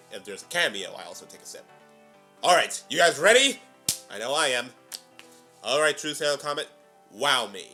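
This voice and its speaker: A man delivers excitable reaction commentary in a bright, medium-pitched voice. He is theatrical and hams it up like a showman. Faint music plays behind him. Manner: theatrical, hammy. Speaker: reaction video host.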